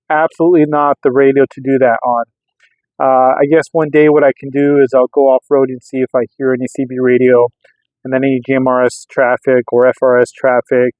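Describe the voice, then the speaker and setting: Guy vlogging a gadget test, thin phone-like audio, monotone, halting and repeating himself, casual. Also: medium pitch, no room sound. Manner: hesitant, halting, repeats words. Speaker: radio hobbyist vlogger. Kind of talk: casual rambling product test commentary. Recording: narrow, phone-like, lo-fi sound.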